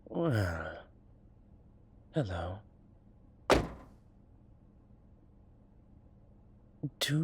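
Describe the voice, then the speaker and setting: A man playing a courteous, wry vampire with formal, hesitant phrasing and a husky voice in an audio roleplay. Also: fairly low pitch, heavily acted. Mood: defensive, wry. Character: courteous, wry adult male vampire wary of daylight. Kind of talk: one-sided audio roleplay acting.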